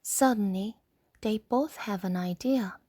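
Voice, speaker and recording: A woman gently and expressively reading a storybook aloud in a soft bedtime style. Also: mid-range voice, measured pace, no room sound, studio quality.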